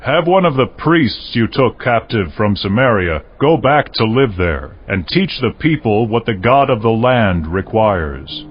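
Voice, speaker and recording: A man playing a commanding ancient king who gives orders in a measured, imperious way in scripture drama. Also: on the low side, lively intonation. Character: commanding male king of Assyria giving orders. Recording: dull audio with faint noise.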